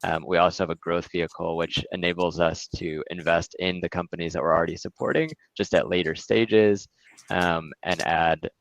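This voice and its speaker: A man presenting his investment firm in a relaxed webinar talk, with frequent fillers. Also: low-pitched, normal speed, vocal fry, no room sound, low-quality recording.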